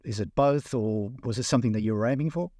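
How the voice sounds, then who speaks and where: A man's voice: mid-range voice, quick pace, mellow, dry recording, studio quality. A man interviewing in a conversational style, rephrasing his questions as he goes. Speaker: interviewer, audio magazine editor.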